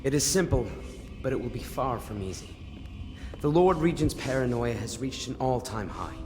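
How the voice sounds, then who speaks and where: A man playing an earnest, calculating conspirator in a video game, grave, measured and emphatic. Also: medium pitch, expressive, lightly acted. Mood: grave urgency. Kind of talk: video game character dialogue. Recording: reverberant hall, music behind.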